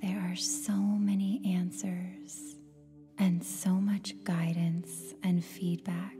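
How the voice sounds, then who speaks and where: A woman with a soft, breathy, near-whispered voice guides a meditation in a soothing, gentle way, leaving long pauses between phrases. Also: lowish voice, little pitch movement. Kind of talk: guided meditation, scripted narration. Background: meditation music with singing bowl tones.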